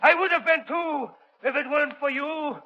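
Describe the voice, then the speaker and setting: A man's voice: very high-pitched, normal speed, gravelly. A man playing a bitter, agitated opera tenor turned killer in melodramatic 1930s radio style. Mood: bitter, desperate. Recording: dull old 1930s radio recording.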